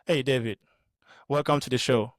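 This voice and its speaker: A man's voice: medium pitch, fairly even intonation, moderate pace, no echo, studio quality. A man hosting an interview podcast, introducing the show and putting questions to a guest. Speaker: podcast host.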